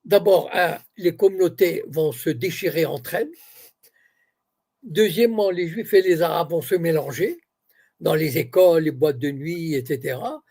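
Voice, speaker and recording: A man's voice: a little high, normal speed, gravelly, darkish tone, slightly rough. A man from Morocco whose first language is Arabic, answering questions as an interview guest and explaining point by point with many restarts.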